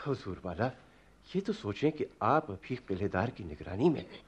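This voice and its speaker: Husky, deferential royal envoy. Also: male voice, lowish voice, sing-song, normal speed. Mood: conspiratorial. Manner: obsequious, courtly, persuasive. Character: shrewd, deferential royal envoy posing as healer. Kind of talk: Hindi-Urdu radio play acting. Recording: dull, muffled radio recording.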